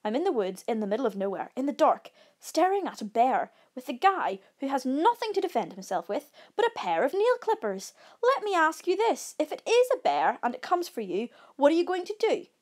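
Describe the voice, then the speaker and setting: A woman's voice: medium pitch, lively intonation, racing, hardly any pauses, mellow, lightly acted. Woman reading as a frantic, indignant male camper. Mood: indignant panic. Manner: urgent, exasperated, often whispered. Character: frightened male camper, anxious, indignant, whispering then near hysterical. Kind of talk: storybook read-aloud dialogue.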